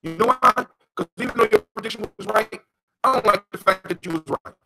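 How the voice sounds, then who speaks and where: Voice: medium pitch, fairly quick, gravelly, pushed and bright. A host with an American accent, teaching in an impassioned, conversational way, repeating phrases for emphasis and checking in with the listener. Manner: emphatic, repeats phrases, checks in with "you know what I'm saying". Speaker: host of a call-in talk on folktales.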